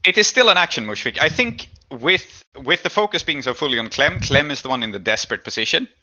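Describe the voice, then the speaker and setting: A man acting as game master, explaining rulings and narrating a tabletop game in a measured, deliberating way. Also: on the high side, expressive, pushed and bright, no room sound, highs cut off.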